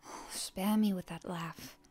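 A proud young heroine, acted by a woman, defiant toward her enemy in an intimate roleplay ASMR. Her voice is breathy and husky, often dropping toward a whisper. She takes her time over her words, full of stubborn, indignant bluster. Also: lowish voice, steady tone, warm tone, soft background music. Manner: indignant, halting, tsundere bluster.

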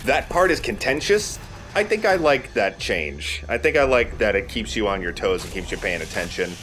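A man's voice: lowish voice, big pitch swings, normal speed, fairly bright tone. A man giving casual game commentary as a let's play commentator. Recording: game noise behind voice. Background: game ambience.

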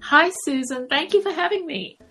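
A woman from Malaysia speaking as a podcast guest, telling stories casually with hesitant, conversational pauses. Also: sits high, bright, forceful tone, soft background music. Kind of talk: casual interview storytelling.

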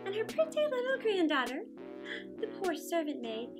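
A woman voicing a gentle, sunny storybook buttercup, tender and unhurried. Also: extremely high pitch, very animated, music in the background, heavily acted. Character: bright, gentle buttercup flower telling sunny tale.

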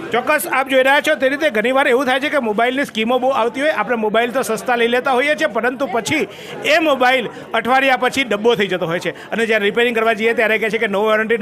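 A man's voice: extremely high pitch, fast, pushed and bright, some chatter behind. A middle-aged man, reporting on a shop opening in a chatty, salesy manner into a handheld mic inside a crowded store. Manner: chatty, salesy, jokes with viewers. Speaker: local news reporter. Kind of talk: on-the-spot promotional report. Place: crowded mobile shop, indoors.

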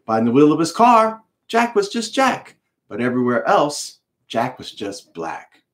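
Expressive American man reading aloud. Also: medium pitch. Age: middle-aged. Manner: emphatic, dramatic pauses and repetition. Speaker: author reading his book aloud. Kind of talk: expressive storybook read-aloud with explanatory asides. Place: home room with bookshelves, indoors.

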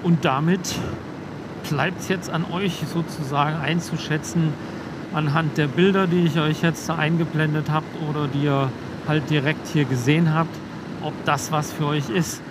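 A young man riding a motorcycle on country roads, casually explaining gear through his helmet intercom mic, relaxed, with frequent filler words. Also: fairly high pitch, moderate pace. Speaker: motovlogger. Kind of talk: casual motovlog talk while riding. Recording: helmet mic with road noise. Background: road and vehicle noise.

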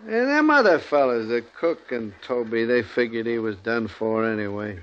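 An American actor playing an evasive, impatient buffalo hunter in a 1950s Western radio drama, curt and folksy. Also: male voice, mid-range voice, animated, normal speed, a bit bright, natural voice. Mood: evasive, defensive. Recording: dull, noisy old radio recording.

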